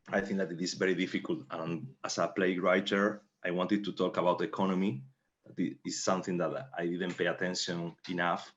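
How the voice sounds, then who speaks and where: Deep spanish guy, native spanish speaker, halting english, flat delivery, doing a q&a answer. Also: dull audio. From Spain. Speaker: playwright. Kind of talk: answering in a panel Q&A.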